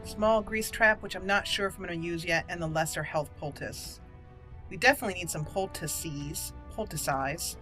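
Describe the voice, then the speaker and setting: A woman's voice: on the low side, normal speed, a bit bright, faint music behind. A woman giving casual commentary while she plays and roleplays through a video game. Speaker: gaming channel host playing a roleplay.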